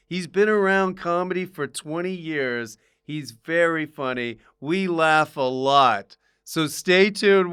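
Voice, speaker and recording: A man's voice: mid-range voice, fairly bright tone, smooth. A man hosting a podcast in casual comedic chat, playful and exclamatory. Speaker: podcast host.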